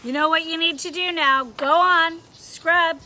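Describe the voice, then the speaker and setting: A woman's voice: extremely high pitch, fairly even intonation, a little slow, sharp tone, a little hiss or hum, heavily acted. A woman voicing a stern, mocking conscience that scolds and interrogates, in a storybook read-aloud. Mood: stern ordering. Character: scolding, bossy conscience, a voice inside the head.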